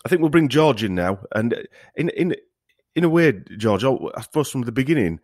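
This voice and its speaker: A man's voice: medium pitch, expressive, a little fast, a little mellow, no echo, studio quality. A man hosting a podcast in a casual discussion style. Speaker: podcast host.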